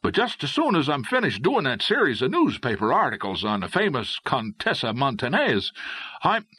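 A man's voice: medium pitch, huge pitch swings, normal speed, sharp tone, husky, pitched above the performer's own voice, exaggerated voice. A man voicing an eager, reckless young male adventurer in an audiobook, enthusiastic and exclamatory. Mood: cheerful. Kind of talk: audiobook character voice.

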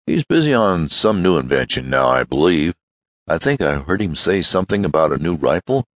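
A cautious, firm old inventor , voiced by a male reader, in a very deep, measured voice. The pitch still moves a lot, and the recording is dry and close. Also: very animated, fairly bright tone, no echo, full character voice. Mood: calm. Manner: measured, sensible.

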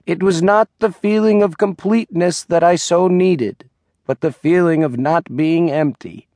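A man's voice: on the high side, measured pace, a little mellow, no echo. A man reading literary fiction as an audiobook narrator, in a scripted storytelling style.

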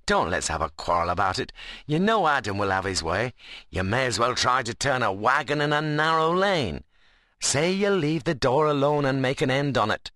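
Man acting a gentle, devout country workman, clear-toned. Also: medium pitch, animated, moderate pace, no echo, studio quality, voice pushed up. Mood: persuasive. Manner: mild, persuasive rustic dialect. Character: gentle, devout, good-humoured young workman.

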